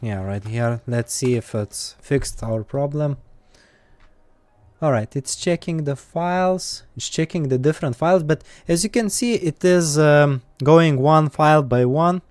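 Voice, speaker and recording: A man with an Eastern European accent, live-streaming while coding and thinking aloud, with many pauses and fillers. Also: a little high, darkish tone, no room sound.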